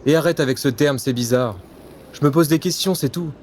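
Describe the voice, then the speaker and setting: A man's voice: fairly high pitch, fairly quick, darkish tone, close to an everyday voice. Man playing a brooding veteran secret agent, ambient noise. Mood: casual, questioning. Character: weary, self-doubting veteran male secret agent. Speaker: actor in an audio drama. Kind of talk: French spy audio drama acting.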